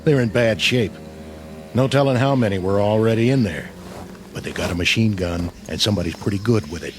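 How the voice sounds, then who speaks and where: An actor playing a battle-hardened, skeptical army sergeant in a radio drama, plain-spoken, folksy and wry. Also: male voice, fairly low pitch, a little fast, soft background music, natural voice. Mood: blunt, dry.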